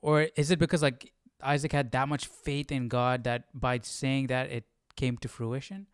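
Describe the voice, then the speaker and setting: A man's voice: mid-range voice, flat delivery, normal speed, smooth, no room sound, studio quality. A man hosting a casual live Bible study, thinking aloud and questioning, often checking with "right?".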